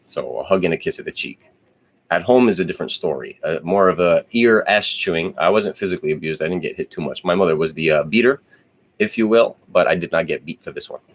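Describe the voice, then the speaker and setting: Brief: very low-voiced guy, rambling casual storyteller, lots of "uh"s and restarts. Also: fast talker. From New Jersey. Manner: rambling, digressive, with many fillers and self-corrections. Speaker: podcast host.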